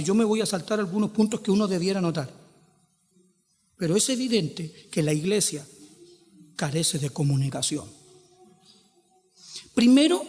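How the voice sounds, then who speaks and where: Chilean pastor guy preaching, fairly high voice, lots of questions, big echoey hall. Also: a little mellow. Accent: Chilean Spanish. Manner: rhetorical questions, emphatic rises and drawn-out quotes. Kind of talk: sermon.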